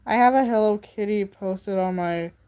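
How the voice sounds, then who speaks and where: A woman's voice: mid-range voice, moderate pace, mellow, clear, resonant tone. A teenage girl chatting casually on her livestream, showing off her handmade crafts in a rambling way, full of "like" and "um". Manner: rambling, filler-heavy with many "like" and "um"; chatty and talks straight to viewers. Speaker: livestream host.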